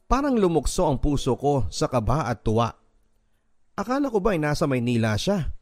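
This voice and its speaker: A man's voice: medium pitch, a little mellow. A man with a Filipino accent reading a scripted first-person story in a dramatic radio storytelling style. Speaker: radio host narrator. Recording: music under the voice. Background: background music.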